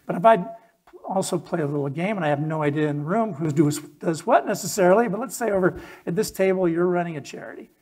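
A man in his sixties speaks to an event as a keynote presenter. His voice sits a little high for a man and has a husky grain. He talks conversationally and puts emphasis on key words. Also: fast talker, darkish tone. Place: stage in an event hall with a PA, indoors.

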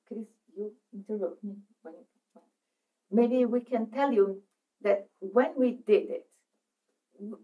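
Slow, halting Brazilian woman presenter. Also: a little high, lo-fi sound. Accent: Brazilian Portuguese. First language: Portuguese. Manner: halting, searching for words, many restarts. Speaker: psychoanalyst speaker. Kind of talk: presentation at a seminar. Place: meeting room, seated at a table.

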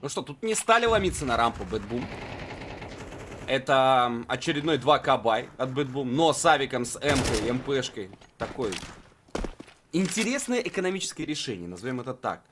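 A man's voice: mid-range voice, sing-song, moderate pace, background noise. A man commentating live on a Counter-Strike esports match in a conversational play-by-play style.

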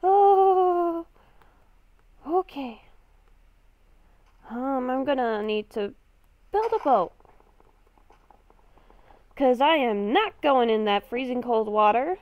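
Woman, high voice, unhurried game roleplay narration, thinking aloud, repeats phrases, dry lo-fi sound. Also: animated, dry recording. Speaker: gamer playing a roleplay character. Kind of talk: improvised in-character game narration. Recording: dull, lo-fi microphone.

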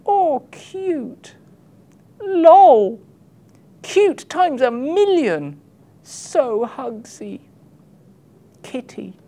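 A man's voice: extremely high pitch, sing-song, very slow, pauses often, dark tone, pitched above the performer's own voice, heavily acted. A man voicing a silly, gushing online commenter who coos over cute cat pictures in a comic book reading. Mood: gushing, cooing. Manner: cooing, simpering.

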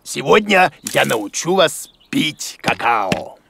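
A man's voice: mid-range voice, big pitch swings, slow, gravelly, noisy background, exaggerated voice. A man voicing a grumpy, muddle-headed bearded creature who teaches with bluster in a children's cartoon. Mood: confident, blustering. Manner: blustery, exclamatory, mock-scolding.

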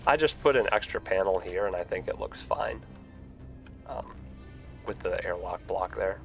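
A man's voice: low-pitched, normal speed, faint music behind. Stuffy-voiced man streaming a game. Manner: relaxed, rambling, frequent fillers. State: stuffy from a cold. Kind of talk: casual live-stream game commentary.

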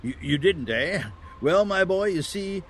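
An actor playing a kindly, bumbling old Santa Claus in a family audio drama, gentle and a little stammering. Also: male voice, sits high, expressive, measured pace, a little hiss or hum. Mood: warmly reminiscing.

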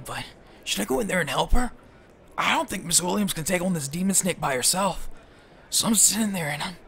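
A young man playing a high-strung, sarcastic, foul-mouthed teenage schoolboy in a comedic audio drama, rambling and sardonic. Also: sharp tone, a little hiss or hum, heavily acted.

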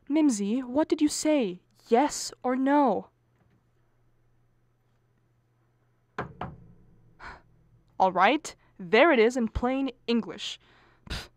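High-pitched, melodramatic anxious wife and mother played by a woman. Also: expressive, measured pace. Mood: insistent. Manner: melodramatic, pleading, argumentative. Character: anxious, bickering middle-aged wife and exasperated mother. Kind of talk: stage comedy acting for radio.

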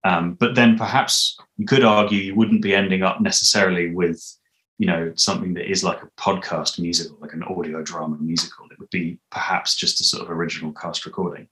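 Male interview guest, 30s. Very low pitch, conversational, feels his way through sentences. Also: level tone, fairly quick, a bit bright, poor audio. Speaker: interview guest, audio drama composer. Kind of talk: casual interview chat. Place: living room at home, on a video call.

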